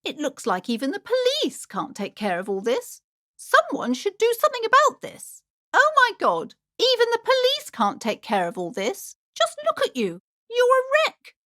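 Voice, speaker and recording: A woman's voice: very high voice, wildly swooping pitch, normal speed, bright, forceful tone, no room sound, clean studio sound, full character voice. An actress playing an anxious, superstitious, preachy woman bookshop owner in a video game, speaking in a theatrical, fretful way. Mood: appalled. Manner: theatrical, fretful, swinging between gushing and alarm. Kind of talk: dramatic video game character dialogue.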